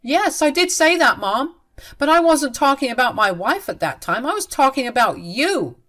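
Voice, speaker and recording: Stern angry son yelling at his mom, loud and accusing, woman reading it out in a storybook. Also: high-pitched, sing-song, moderate pace, voice pushed up, exaggerated voice. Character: angry grown son confronting his mother. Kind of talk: storybook narration.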